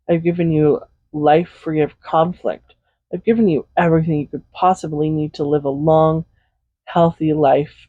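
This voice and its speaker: A man's voice: on the high side, no echo, phone-quality sound, close to an everyday voice. A man playing a tender, possessive villain in an intimate roleplay audio drama, speaking in a soothing, coaxing, reassuring way. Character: tender, possessive villain comforting a captive loved one. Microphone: close USB condenser microphone.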